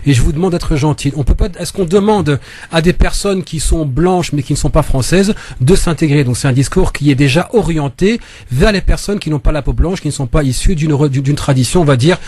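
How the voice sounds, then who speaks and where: A fairly high-voiced man speaking as a guest in a radio studio, heard with a dry, close sound. He argues his case methodically and explains in measured terms, pushing back on the other speaker. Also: darkish tone, husky, dry recording. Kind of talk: studio debate.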